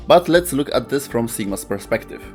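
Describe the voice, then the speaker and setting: A man's voice: mid-range voice, lively intonation, moderate pace. A man reading scripted explainer narration as the narrator of a video. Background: background music.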